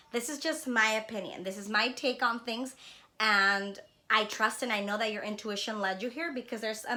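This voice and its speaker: A woman's voice: on the high side, sharp tone. A woman talks casually and earnestly to her viewers, reflective and often checking in with a "right?". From born in Honduras, living in Los Angeles. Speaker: spiritual vlogger and card reader. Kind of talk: casual heartfelt talk to viewers.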